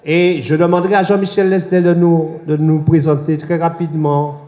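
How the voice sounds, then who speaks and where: Hoarse mayor in an echoing room. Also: male voice, a little high, flat delivery, mellow, highs cut off. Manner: measured, addressing an assembly with thanks and invitations. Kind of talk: formal closing speech. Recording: roomy echoing council chamber.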